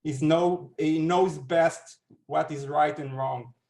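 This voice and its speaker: A man on a festival panel, speaking slowly with pauses. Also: a little high, steady tone, vocal fry, a little mellow, far from the mic. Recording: echoey room.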